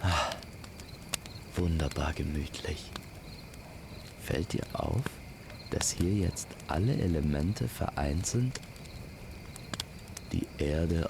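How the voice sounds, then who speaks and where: Man, very low gravelly voice, gentle poetic campfire storytelling, crickets and night ambience behind. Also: background noise. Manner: slow, gentle, poetic delivery. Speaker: storyteller, narrator. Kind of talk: immersive scripted campfire storytelling addressed to the listener. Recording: constant night insect and nature ambience. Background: crickets and forest night sounds.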